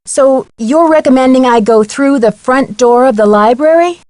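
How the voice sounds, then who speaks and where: An actress playing a curious, level-headed young amateur detective with an American accent, speaking inquisitively and matter-of-factly in an adventure game. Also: female voice, sits high, normal speed, a little mellow, no room sound, close to an everyday voice. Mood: questioning. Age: young adult. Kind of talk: scripted game dialogue.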